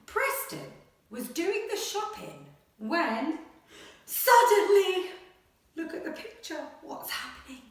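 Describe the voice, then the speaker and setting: A woman primary school teacher speaking to children and reading a picture book aloud in a dramatic, expressive way. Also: very high-pitched. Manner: dramatic, expressive, with gasps and suspenseful pauses. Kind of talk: animated children's story reading and lesson. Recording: very echoey room, slightly distant mic.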